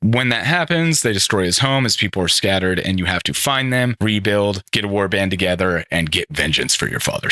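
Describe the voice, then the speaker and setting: A man's voice: fairly low pitch, pushed and bright, no room sound, studio quality. A young man hosting a gaming podcast alone, rambling and enthusiastic, often correcting himself as he talks through the games. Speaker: podcast host. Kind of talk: solo casual gaming podcast commentary.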